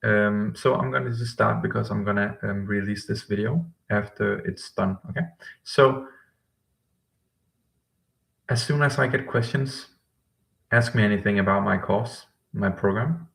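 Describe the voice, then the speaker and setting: A man's voice: fairly low pitch, unhurried, creaky, pauses often, a bit bright, poor audio. A man hosting a live-stream question session as a language coach, rambling with frequent ums and self-corrections. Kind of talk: live-stream talk to chat viewers.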